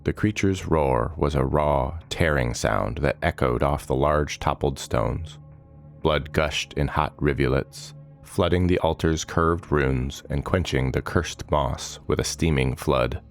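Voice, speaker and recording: A man reading dramatic fantasy narration in a measured, solemn manner, giving short phrases weight. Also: rumbling low, faint music behind.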